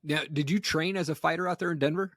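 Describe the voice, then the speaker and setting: A man's voice: a little high, fairly even intonation, fast, fairly bright tone, no room sound, studio quality. A man hosting a podcast interview, asking questions and chiming in eagerly. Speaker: podcast host. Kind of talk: interview host.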